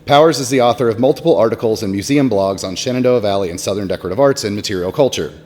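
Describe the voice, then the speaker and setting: A man hosting a conference session, briskly reading an introduction of the next speaker. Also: on the low side, a little mellow, a little hiss or hum. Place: conference hall. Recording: long hall reverb, faint ambience.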